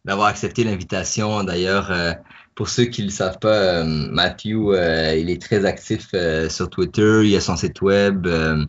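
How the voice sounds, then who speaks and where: Deep-voiced man co-hosting a podcast. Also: steady tone, measured pace. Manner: frequent fillers, explanatory. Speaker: podcast co-host. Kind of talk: casual chat. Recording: dull lo-fi audio.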